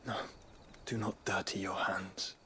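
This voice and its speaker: An actor playing a gentle, solemn young nobleman, weary and wounded, speaking formally in a soft, breathy voice near a whisper. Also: low-pitched, little pitch movement, slight background noise. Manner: measured, formal, reflective speech. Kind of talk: game cutscene acting.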